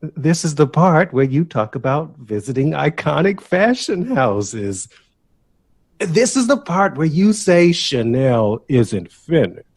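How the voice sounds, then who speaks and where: An actor playing an excitable, easily wounded young man in an audio drama, with a gushing, dramatic and pointed way of talking. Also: on the high side, slow pace, husky, heavily acted. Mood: hurt, pressing.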